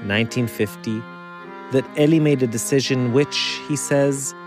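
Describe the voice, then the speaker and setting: A man narrating a documentary podcast in a measured storytelling manner. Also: mid-range voice, over music. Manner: measured storytelling with pauses for effect. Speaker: podcast host. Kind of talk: scripted narration.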